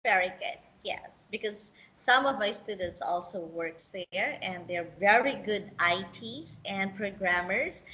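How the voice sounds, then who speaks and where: A woman's voice: medium pitch, a little slow, few pauses, bright, forceful tone, rough recording. A woman interviewing a contestant in English, chatty and encouraging, often laughing. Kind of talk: interview questions, casual.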